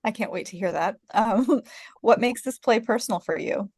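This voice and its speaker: A woman's voice: medium pitch, moderate pace, a little mellow, slightly rough, dry recording, studio quality. A woman co-hosting a conversational interview in an encouraging, enthusiastic way. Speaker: interviewer, co-host.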